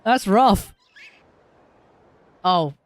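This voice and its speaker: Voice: medium pitch, lively intonation, drawn-out words, no echo. Australian streamer muttering commentary. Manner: chatty, muttering asides, reads text aloud. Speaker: game streamer. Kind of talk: casual live-stream commentary.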